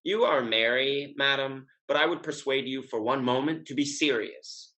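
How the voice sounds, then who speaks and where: An actor playing a witty, lovesick gentleman suitor in a Restoration stage comedy, speaking in a formal, wry, sententious way. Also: medium pitch, normal speed, close to an everyday voice, rough recording. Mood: earnest, pleading.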